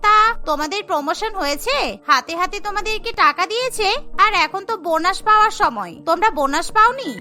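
এক নারীর অভিনয়ে আদুরে, আত্মতৃপ্ত তরুণী বউমা, খুব চড়া গলা. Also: female voice, very high-pitched, animated, moderate pace, pushed and bright, faint music behind, heavily acted. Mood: smug. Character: smug, sugary young daughter-in-law with a government job.

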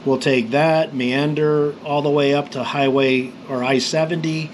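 A middle-aged man from New Mexico calmly explains road maps for motorcycle trip planning, rambling with fillers and restarts. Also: fairly high pitch, a little slow, slight background noise. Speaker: motorcycle vlogger.

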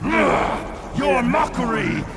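A mad galactic tyrant in a video game, contemptuous and commanding. His gravelly speech drags out very slowly and rings in a vast reverb. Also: full character voice. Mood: enraged, imperious. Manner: pompous, menacing, commanding. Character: insane godlike cosmic villain, booming, imperious, contemptuous. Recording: booming long reverb under loud music.

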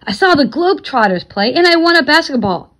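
A woman's voice: sits high, very animated, a little fast, a bit bright, pitched above the performer's own voice. A woman voicing an eager, joking young boy with casual, slangy talk in a storybook read-aloud.

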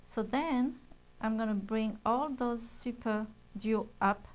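Woman, middle-aged, soft-spoken, patient tutorial explainer, lapel mic, studio. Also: on the high side, slow, poor audio. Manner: soft-spoken, gently explains steps with fillers. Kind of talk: casual show-and-tell and beading tutorial. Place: bright studio set at a table.

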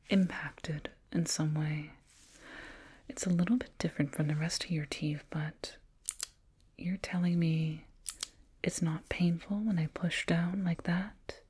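A woman voicing a soothing, condescending alien dentist in a breathy ASMR whisper, close to the mic, doting and clinical. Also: low voice, full character voice. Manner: slow, doting, clinical, gently patronizing. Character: condescending yet soothing alien dentist, fussing over a little human. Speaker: ASMR roleplayer. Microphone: binaural mic, up close.

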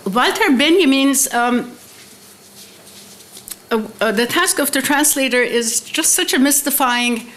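Female academic keynote speaker, middle-aged, fairly high voice, deliberate scholarly delivery. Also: expressive, a bit bright, slight background noise. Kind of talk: academic conference lecture, mostly read from prepared text. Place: indoor conference hall, seated at a desk with laptop. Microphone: desk microphone on a stand.

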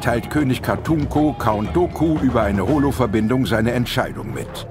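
A man narrates an adventure audio drama. His voice is mid-range and the delivery is dramatic and scripted, with music clearly audible underneath. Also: medium pitch, lively intonation, moderate pace.

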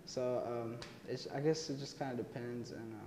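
A man's voice: mid-range voice, moderate pace, reverberant, in a large hall, noisy background. A young man from Texas, a college student, answering interview questions casually with lots of fillers and restarts. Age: young adult. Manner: relaxed, many fillers and restarts. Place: indoor room, plain wall.

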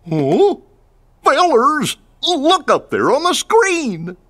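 A man voicing a friendly, slow-witted cartoon dog with a husky drawl in a video game. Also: extremely high pitch, wildly swooping pitch, fairly bright tone, full character voice. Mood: curious. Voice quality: husky, goofy chuckly drawl.

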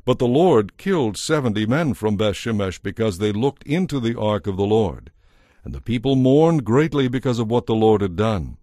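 Lowish-voiced male scripture narrator. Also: lively intonation, moderate pace, warm tone, no room sound, clean studio sound. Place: studio, dry.

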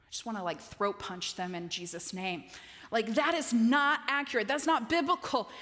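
A woman in her forties giving an animated, emphatic chapel talk on a stage, gesturing as she speaks into a headset mic. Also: a little high, normal speed. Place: large stage in a dark auditorium. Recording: long reverb of a large hall.

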